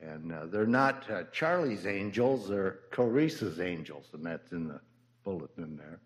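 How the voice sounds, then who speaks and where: A man near ninety giving a halting memorial tribute at a church service, stumbling over words with fillers. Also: sits low, lively intonation. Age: older, near ninety. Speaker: church member giving a eulogy.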